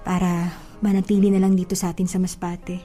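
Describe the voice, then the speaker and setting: Woman playing a mellow, coy young sweetheart. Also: fairly low pitch, flat delivery, fairly quick, close to an everyday voice. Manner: coy, teasing, hesitant when surprised. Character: coy, bantering young provincial woman being courted. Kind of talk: radio-style romantic drama acting. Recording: music bed under the voice.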